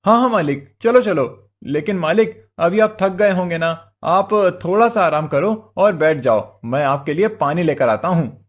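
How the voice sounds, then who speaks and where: Crafty cartoon villager husband, male actor, higher-pitched, coaxing, theatrical and exclamatory. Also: lively intonation, normal speed, hardly any pauses. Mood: sly. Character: crafty cheerful village husband, wheedling trickster. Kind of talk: Hindi cartoon moral story voice acting.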